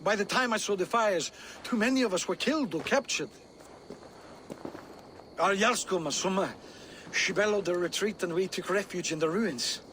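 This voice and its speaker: Defeated viking fighter, pretty high male voice, emphatic, proud, game scene w faint noise. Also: normal speed, long pauses, a bit bright, rough recording. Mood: bitter, agitated. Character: beaten but loyal Danish Viking warrior man, proud. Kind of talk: dramatic video game cutscene acting.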